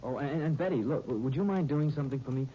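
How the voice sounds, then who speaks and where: A man's voice: medium pitch, lightly acted. An actor playing an eager, earnest young American man who trips over his words, in a 1930s radio drama. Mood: casual, asking. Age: young adult. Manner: halting, stammering over words. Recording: muffled, noisy 1939 radio broadcast recording.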